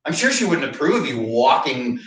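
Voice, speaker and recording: Guy playing boozy bitter old philosophy prof, pompous, pitch swings all over, roomy far-off mic. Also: sing-song, fairly quick, a bit bright. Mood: mocking. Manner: pompous, sardonic, pontificating. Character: bitter, drunken older male philosophy professor near retirement. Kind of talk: staged play reading. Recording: echoey room, distant microphone.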